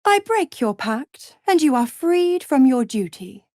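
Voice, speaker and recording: A woman playing a seductive, scheming devil in a video game, theatrical and condescending, with a silky, mocking delivery that slips toward breathy whispers. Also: high voice, very animated, unhurried, a little mellow, no echo, clean studio sound. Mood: commanding, theatrical. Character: seductive, scheming female devil who trades in pacts. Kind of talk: video game character acting.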